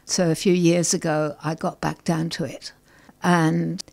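A middle-aged woman from England, a poet guest on a radio show, reading her poems with deliberate care and talking about her work. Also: low voice, flat delivery, creaky, darkish tone. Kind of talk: poetry reading and interview.

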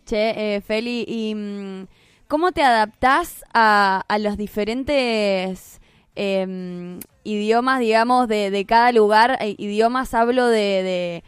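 Woman interviewing with a smooth voice. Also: mid-range voice, slow pace, no room sound. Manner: chatty, fillers and restarts while framing questions. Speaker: radio interviewer. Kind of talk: casual radio interview.

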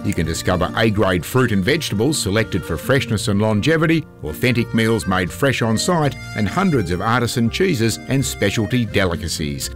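Male sponsor voice-over, smooth promo read, guitar track clearly under the voice. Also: fairly low pitch, animated, normal speed, hardly any pauses. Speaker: advertisement voice-over. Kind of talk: scripted radio ad read. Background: guitar music.

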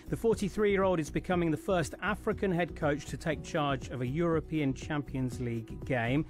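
A man reading a scripted sports bulletin in a crisp announcer's delivery. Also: on the high side. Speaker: sports news reader. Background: music bed.

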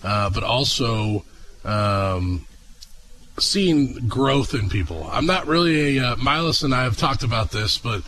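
A young man from Oklahoma, a dealership executive, answering a radio interview in a halting way with many filler sounds. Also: medium pitch, lively intonation, fairly bright tone, low-quality recording. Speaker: guest, auto dealership executive.

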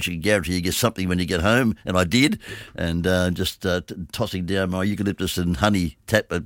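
A man hosting a community radio oldies show, a little croaky from a chesty cough, chatty and rambling. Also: fairly low pitch, a bit bright, dry recording, clean studio sound. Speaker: radio host. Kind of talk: casual radio chat.

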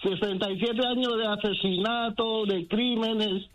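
A man's voice: high-pitched, steady tone, a little slow, over music, poor audio. A man with a Cuban accent giving an impassioned opinion as a radio caller, emphatic and piling up repetitions. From Cuba. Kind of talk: impassioned opinion on a call-in show.

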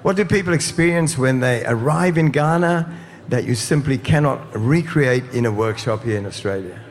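A man's voice: medium pitch, moderate pace, a little hiss or hum. A man interviewing a guest on community radio, asking long questions and wrapping up in an upbeat, promotional tone.

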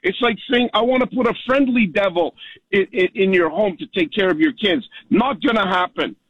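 A man's voice: sits high, expressive, normal speed, creaky, slightly rough, no room sound, dull audio. Heated rambling male radio caller. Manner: rambling, heated, many restarts. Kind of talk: talk radio call.

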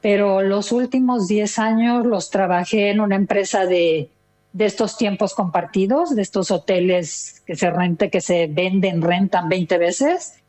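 Older Mexican woman, chatty and expressive. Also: mid-range voice, unhurried. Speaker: interview guest, retired listener. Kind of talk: casual conversational storytelling.

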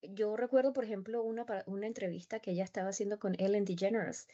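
A woman whose first language is Spanish tells stories with lively emphasis in a relaxed conversation. Her voice is mellow and warm rather than bright. The recording is slightly dull and lo-fi. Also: medium pitch, quick pace. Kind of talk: conversational interview.

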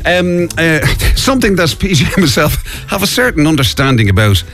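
A man's voice: on the high side, big pitch swings, moderate pace, fairly bright tone, faint music behind. An Irish man hosting a morning radio show in a studio, his voice rising and falling a lot as he chats. He giggles often and fills his sentences with ums and uhs. The talk is loose, joking banter. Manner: chatty, giggly, lots of fillers. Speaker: radio host.

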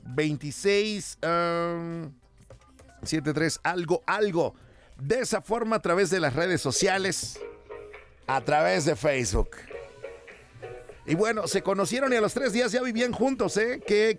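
A man's voice: high-pitched, moderate pace, soft background music. A Mexican man hosting a radio call-in show, teasing and affectionate with his guests. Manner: playful, teasing and affectionate with callers. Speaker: radio host. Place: radio studio.

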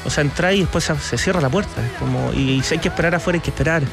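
A man's voice: a little high, rapid-fire, gravelly, music in the background. A man with a Chilean accent, chatting casually as an interview guest. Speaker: interview guest, bar owner and sommelier. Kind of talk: casual conversation.

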